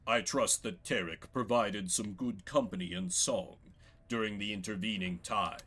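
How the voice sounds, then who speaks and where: A man voicing a courteous, fatherly older male leader in a fantasy game, speaking formally and with measured eloquence. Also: lowish voice, a bit bright. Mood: fond.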